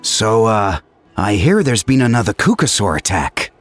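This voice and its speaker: An actor playing an unsure, easily flustered villager in a video game, speaking hesitantly. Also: male voice, on the low side, sing-song, moderate pace, soft background music.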